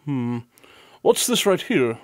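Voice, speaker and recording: A man's voice: a little high, sing-song, slow, no room sound, clean studio sound. A man playing a shrewd, confident detective in a radio drama, speaking in a wry, clipped manner. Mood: probing, wry. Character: Spencer Holmes, shrewd, wry male detective.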